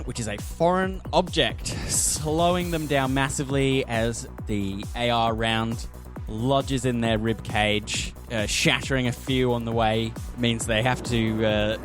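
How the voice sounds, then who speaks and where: A man acting as game master, narrating a tabletop role-playing game and calling out the action. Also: mid-range voice. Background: prominent music.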